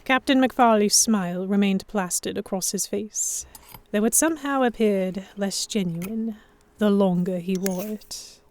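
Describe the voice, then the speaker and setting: A woman playing an anxious young noblewoman captive, narrating her own story in a measured, introspective way for a historical romance audio drama. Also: medium pitch, a little mellow, close to an everyday voice. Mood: guarded.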